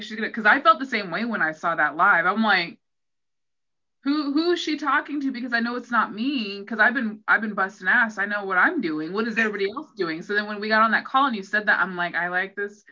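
Bright-voiced woman podcast host. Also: mid-range voice, fairly quick. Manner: animated, acts out her own past thoughts. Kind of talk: casual interview chat. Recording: dull lo-fi audio.

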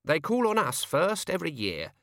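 A man's voice: a little high, huge pitch swings, moderate pace, bright, forceful tone, dry recording, clean studio sound, pitched above the performer's own voice, full character voice. A man voicing a flustered, nervous older male wizard scholar who stammers and hesitates.

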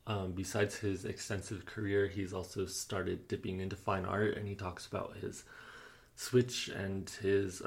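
Creaky low-voiced male podcast host. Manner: upbeat, encouraging, with many fillers and laughs. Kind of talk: casual interview podcast.

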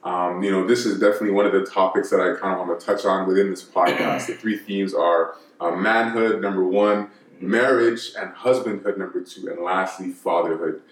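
A young man hosting a casual podcast, with a lowish voice. He is earnest and encouraging and often repeats his words. The room gives his voice a noticeable echo. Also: steady tone, fairly quick. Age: young adult. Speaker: podcast host. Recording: roomy room sound.

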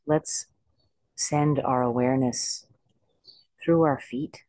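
A meditation teacher leading a guided body scan in slow, unhurried phrases with pauses. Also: medium pitch, steady tone, warm tone, no echo.

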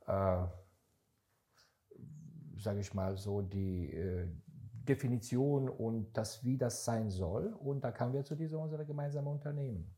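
Man from Israel, earnest co-host, mellow voice, gropes for words. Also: mid-range voice. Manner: searching for words, non-native grammar, earnest and emphatic. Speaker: podcast co-host, investor. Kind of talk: casual podcast conversation.

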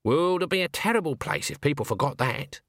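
A man voicing a stern, haughty old woman witch in an audiobook, clipped and deadpan. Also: on the high side, a little fast, gravelly, no room sound, clean studio sound, pitched above the performer's own voice, exaggerated voice. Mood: stern, irritated. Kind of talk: audiobook character acting.

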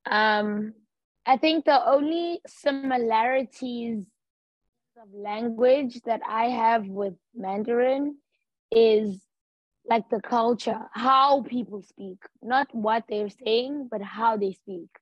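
A woman from Africa in a casual conversation, speaking in a slow, thoughtful, laid-back way. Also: on the high side, no echo. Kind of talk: casual chat. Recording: dull dry lo-fi sound.

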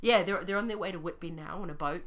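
A woman with a New Zealand accent and a croaky voice, co-hosting a podcast and telling a story in an animated, laughing way. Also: on the low side, fast talker. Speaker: podcast co-host. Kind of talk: casual chat.

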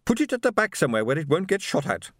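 A man's voice: on the high side, sing-song, fast talker, no echo, studio quality, pitched above the performer's own voice. A man voicing a haughty, domineering, disapproving wife who talks in an imperious, demanding snap for an audiobook. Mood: bossy. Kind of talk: audiobook character voice.

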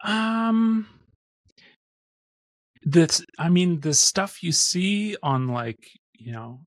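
A man's voice: sits high, lively intonation, very slow, dry recording. A man in his forties, raised in Missouri, chatting as a podcast guest in a rambling, self-deprecating way. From born in Austria, raised in Missouri. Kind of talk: casual interview chat.

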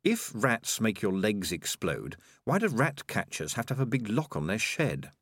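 A man's voice: on the low side, huge pitch swings, moderate pace, fairly bright tone, slightly rough, no echo, heavily acted. A man voicing a sly, worldly male talking cat, drawling with dry skepticism in a storybook reading. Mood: wry.

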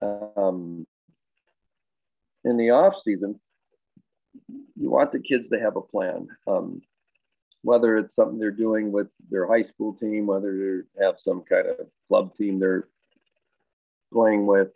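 A man who coaches high school baseball and teaches health, answering interview questions in a thoughtful, rambling way with many fillers and restarts. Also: fairly even intonation, slow, vocal fry, pauses often, no room sound. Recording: dry, tinny phone-like line.

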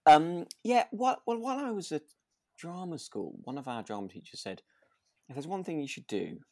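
Man, casual co-host chat, highly varied pitch, dry recording, thoughtful halting phrasing. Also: lively intonation, a little mellow, no room sound. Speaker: podcast co-host. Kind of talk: relaxed casual chat about a radio drama.

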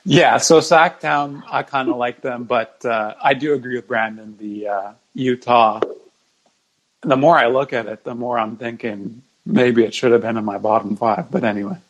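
A man's voice: medium pitch, steady tone, normal speed, highs cut off. Male guest in casual sports chat. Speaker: podcast guest, jersey ranking expert.

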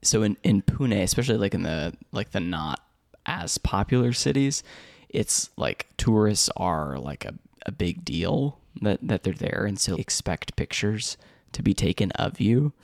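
A man's voice: on the low side, animated, unhurried, creaky, darkish tone, dry recording, clean studio sound. A young American man co-hosting a podcast, telling stories casually with lots of fillers and laughs. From United States. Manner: storytelling, chuckling often, many fillers and restarts. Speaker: podcast co-host. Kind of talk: casual chat.

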